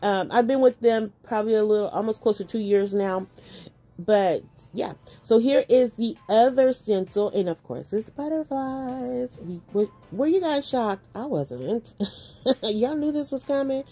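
A chatty woman host with a slightly raspy, mellow voice, talking warmly and casually to her viewers. She sounds like she is recovering from an illness, with light music playing softly behind her. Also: on the high side, unhurried. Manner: chatty, affectionate, laughs often. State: recovering from illness, dry cough. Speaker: craft channel host. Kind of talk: casual voice-over while crafting. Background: faint background music.

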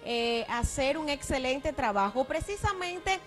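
A woman's voice: high-pitched, lively intonation, a little slow, faint music behind. A young woman co-hosting a TV interview, asking polite, formal questions into a handheld mic. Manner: polite, formal questions with long lead-ins. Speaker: co-host. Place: TV studio.

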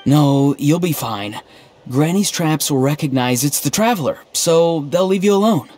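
A man's voice: on the high side, lively intonation, normal speed, a little mellow, lightly acted. An actor playing an earnest, naive young man in a video game, speaking in a literal, understated way. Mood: earnest, pleading. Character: earnest, naive, deadpan young man, an odd gentle hero.

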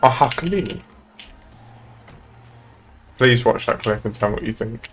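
A man's voice: medium pitch, lively intonation, a little slow, a bit bright. A young adult man chatting casually and bluntly in a roleplay game, swearing often, on a streaming mic. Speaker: streamer playing a police boss in a roleplay game. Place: indoors at a streaming setup.

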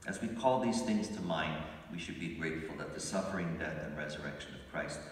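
A deep, low-voiced older priest preaches a homily in a slow, measured and reflective way. His voice rings through a large church with a long reverb, picked up from far back in the pews. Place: large church sanctuary, at a pulpit. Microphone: pulpit mic, recorded by a phone from the pews.

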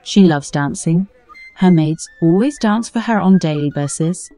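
A woman's voice: lowish voice, animated, unhurried, mellow. A woman narrating a scripted folktale as a storyteller. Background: music under the voice.

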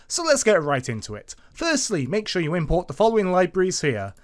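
Male presenter for an informal screencast lesson; highly animated intonation, emphatic sing-song explanations. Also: brisk. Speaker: tutorial host. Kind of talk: informal step-by-step screencast tutorial narration.

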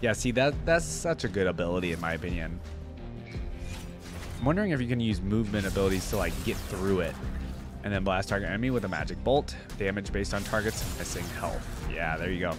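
Low-voiced man reacting casually. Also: fairly quick. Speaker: reaction video host. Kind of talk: casual game reaction commentary. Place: small indoor room, gaming chair. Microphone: close desk microphone on boom arm. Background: game trailer music.

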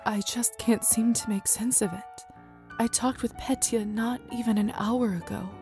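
Earnest young gentlewoman over music. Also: fairly high pitch, steady tone, measured pace. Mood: worried, curious. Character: earnest, unsettled young gentlewoman, vampire newcomer. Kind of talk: video game voice acting. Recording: music behind the voice.

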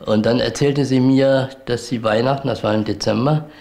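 Older man, gravelly, hesitant recollection. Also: mid-range voice, steady tone, moderate pace, a little mellow. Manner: hesitant, many fillers. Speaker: former children's home director. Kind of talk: recorded interview.

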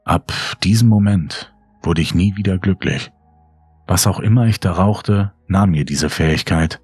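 A man narrates a horror audiobook in a low, slightly rough voice. His delivery is slow, grave and brooding. The recording is dry and close. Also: darkish tone, no room sound. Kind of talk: scripted dramatic audiobook narration. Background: faint music.

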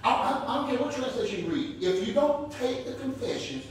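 High-voiced preacher in his fifties, echoey hall. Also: animated, fairly quick, a little hiss or hum. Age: 50s. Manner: preaching with call-and-response and rising emphasis. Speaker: pastor. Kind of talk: sermon. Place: large church hall, indoors. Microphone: far camera. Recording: echoey hall, far from mic, congregation responding. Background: congregation calling out.

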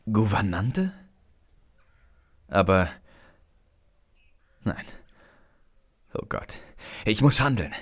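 Intense young man, halting delivery. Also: on the low side, expressive, husky, full character voice. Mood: shocked, agitated. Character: agitated, impulsive, uncouth young man. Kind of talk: German audio drama acting.

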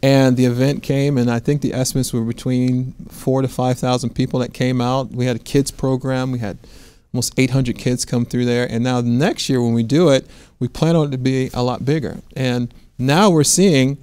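American man, middle-aged, earnest conversational storytelling, middle pitch, dry studio sound. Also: fairly even intonation, normal speed, darkish tone, smooth, dry recording. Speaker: interview guest, restaurant owner. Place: quiet indoor studio set with armchairs. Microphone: lapel mic.

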